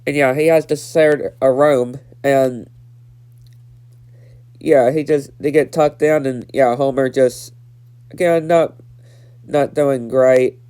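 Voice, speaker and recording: A man's voice: medium pitch, fairly even intonation, takes it slowly, long pauses, mellow, clear, resonant tone. A nineteen-year-old man with a stuffed-up nose, casually recapping a cartoon episode and repeating himself with lots of fillers. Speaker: episode reviewer.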